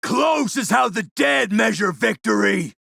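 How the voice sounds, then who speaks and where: An actor playing a brutal, sneering warlord with a gravelly, rasping voice, throwing forceful combat taunts in a video game. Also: male voice, high-pitched, lively intonation, measured pace, no room sound, studio quality, full character voice. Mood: savage, taunting.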